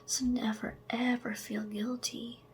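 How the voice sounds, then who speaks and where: A woman whispering slow, soft ASMR affirmations straight to the listener, with chatty asides and a gentle sense of humor. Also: on the high side, level tone, slight background noise, low-quality recording. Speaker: ASMR creator.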